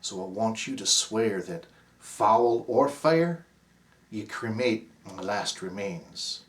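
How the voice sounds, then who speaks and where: A man voicing a freezing, dying prospector from the Southern US, pleading in a moaning, drawn-out Southern accent. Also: on the low side, reverberant, pitched below the performer's own voice. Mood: dreading.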